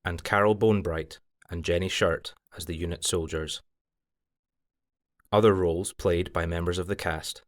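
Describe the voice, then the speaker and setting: A man with a low-pitched voice serves as announcer, reading scripted credits. He goes through them in a formal, list-like read. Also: fairly even intonation, moderate pace.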